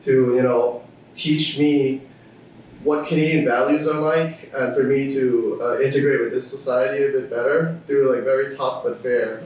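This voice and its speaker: A man's voice: mid-range voice, steady tone, moderate pace, darkish tone, slight background noise. A young man from Thailand, a student guest on a podcast, talking casually with many fillers and restarts. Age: young adult. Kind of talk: casual interview chat. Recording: echoey room, distant from mic.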